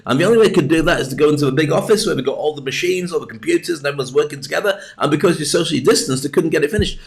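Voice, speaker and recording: A British man hosting a livestream, talking in a chatty, jokey, rambling way into a webcam mic at his desk. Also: fairly high pitch. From Britain. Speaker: livestream host. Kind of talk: rambling casual monologue.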